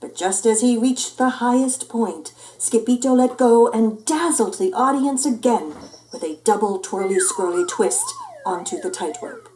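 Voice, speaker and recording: A woman reading a children's picture book aloud in an expressive, theatrical, playful way. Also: a little high, unhurried, slight background noise, rough recording. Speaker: storyteller.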